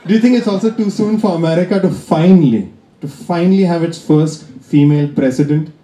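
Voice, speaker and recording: A man with an Indian accent works a live club crowd with dry, teasing stand-up. His voice sits fairly high but sounds mellow and dark. The room around him rings with echo. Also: fairly quick, a little hiss or hum. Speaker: stand-up comedian. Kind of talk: stand-up comedy set. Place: comedy club stage.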